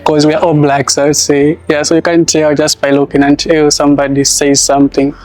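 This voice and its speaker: A man's voice: fairly high pitch, completely flat delivery, fairly quick, darkish tone, soft background music. A young man with a Zambian accent, answering casually as a student in an interview. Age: young adult.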